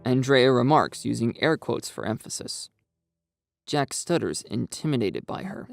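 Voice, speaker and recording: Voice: medium pitch, animated, unhurried, gravelly. A narrator reading a dramatised first-person story in a scripted style. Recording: dry, music behind. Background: background music.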